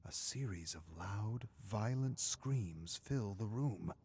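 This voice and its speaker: Low-pitched man narrating a dramatic story. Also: animated, slow, a little mellow, slightly rough.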